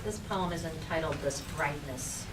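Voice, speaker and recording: A woman's voice: low voice, moderate pace. A woman poet reading her own poem aloud at a live poetry reading. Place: public library reading room. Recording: echoey room, distant mic, clear noise.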